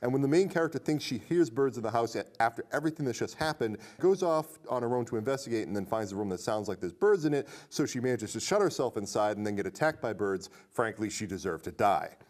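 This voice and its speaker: A man hosting a casual movie review podcast, talking conversationally and reading aloud with animated emphasis. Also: mid-range voice, fast, hardly any pauses. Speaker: podcast host.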